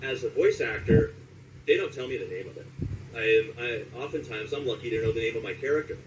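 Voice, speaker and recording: A man from Southern California talking casually as an interviewed voice actor, telling anecdotes in an animated way and acting out reactions. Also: mid-range voice, fast, distant mic, lo-fi sound, background noise.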